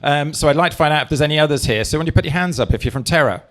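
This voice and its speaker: A man from England, a party leader, giving a live speech to an audience, talking forcefully with slow, stressed phrases into a handheld mic. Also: fairly high pitch.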